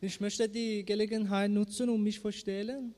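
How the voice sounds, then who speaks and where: A man's voice: high-pitched, level tone, normal speed, warm tone. A man in his twenties from Iran giving an earnest, prepared testimony before his baptism. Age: 20s. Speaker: baptism candidate. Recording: long church reverb.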